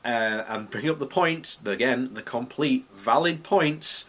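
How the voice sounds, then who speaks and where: A man's voice: mid-range voice, measured pace, fairly bright tone. A man giving unscripted, opinionated commentary as a video blogger, speaking emphatically and indignantly and repeating himself to drive points home.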